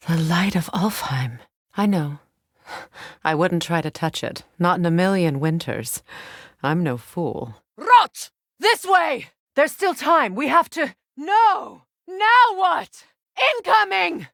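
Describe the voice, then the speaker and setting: A woman voicing a proud, measured Norse warrior goddess in a dramatic video game, with formal, deliberate and dry wording. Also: on the low side, very animated, creaky, fairly bright tone, no echo, full character voice. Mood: wary, calm, then urgent battle shouting.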